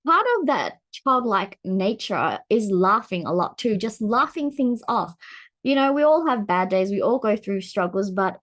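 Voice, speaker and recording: Chatty woman in her forties. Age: 40s. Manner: chatty, laughs often, lively pitch swings. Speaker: vlogger. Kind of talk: casual talk to camera.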